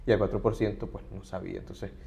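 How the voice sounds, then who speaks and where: A man's voice: deep voice, level tone, fast talker, creaky, dark tone. A middle-aged man, a human rights advocate, earnestly explaining points as a guest in an interview. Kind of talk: conversational interview.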